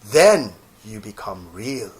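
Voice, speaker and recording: A man voicing a wise, gentle old toy horse from a storybook, patiently explaining. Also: lowish voice, big pitch swings, takes it slowly.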